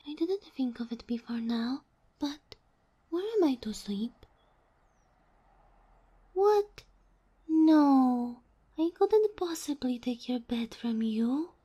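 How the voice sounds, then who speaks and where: High, breathy, sweet fox-girl played by a woman. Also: exaggerated voice. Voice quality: soft, breathy, whispery. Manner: formal, courteous, slightly bashful. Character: sweet, polite kitsune fox-girl familiar, female, ageless. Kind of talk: ASMR roleplay.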